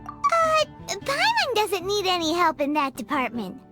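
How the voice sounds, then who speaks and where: A woman voicing a tiny, childlike fairy companion in a video game, squeaky and exclamatory, speaking of herself in the third person over music. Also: huge pitch swings, a little slow, exaggerated voice. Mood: perky, curious. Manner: exclamatory, refers to self by name. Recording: background music under the voice.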